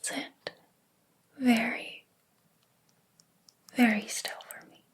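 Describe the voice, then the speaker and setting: A woman performs a jealous, possessive hairdresser in an ASMR roleplay. Her voice is a high, breath-only whisper. She takes her time over each phrase, with a deliberate, quietly threatening manner. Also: fairly even intonation, husky. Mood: possessive, quietly menacing.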